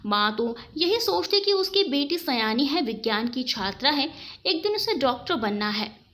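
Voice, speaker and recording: A woman's voice: high voice, sing-song, normal speed, fairly bright tone. A woman narrating a scripted story as a storyteller.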